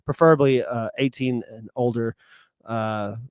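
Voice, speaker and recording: A man with a smooth, resonant voice chatting loosely as a podcast co-host. His talk rambles and often trails off mid-sentence. The sound is dry and close. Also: medium pitch, steady tone, a little slow, no echo. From England. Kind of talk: casual chat.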